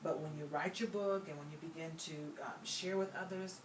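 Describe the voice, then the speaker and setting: A woman's voice: fairly low pitch, steady tone, fairly quick. Older woman host, preacherly and encouraging. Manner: warm preacherly encouragement, call-and-response. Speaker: host and life coach. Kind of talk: inspirational interview talk. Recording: roomy, distant mic, faint noise.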